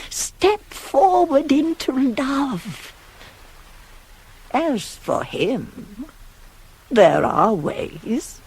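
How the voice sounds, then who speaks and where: An actress playing a shrewd, pragmatic older nurse in a radio Greek tragedy, arguing with emphatic, insinuating rhetoric that can drop to hushed tones. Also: female voice, high-pitched, exaggerated voice. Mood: coaxing. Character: older female nurse, shrewd, pragmatic, persuasive confidante of a queen. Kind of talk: 1980s radio play acting of Greek tragedy. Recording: hissy old cassette radio recording.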